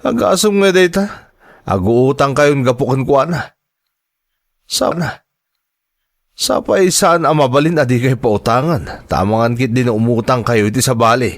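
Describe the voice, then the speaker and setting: A man's voice: mid-range voice, expressive, measured pace, long pauses, no echo, studio quality. A man with an Ilocano accent playing a scornful, well-off uncle in a radio drama, belittling and grumbling as he talks. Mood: scornful, scolding. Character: scornful, well-off uncle grudgingly lending money to relatives.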